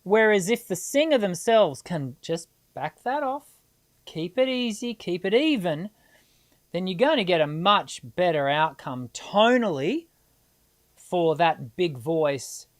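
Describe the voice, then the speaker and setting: A woman's voice: on the low side, sing-song, slow. A middle-aged woman, hosting a live singing Q&A and answering viewers in a chatty, encouraging way. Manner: chatty and encouraging, many restarts and filler ums.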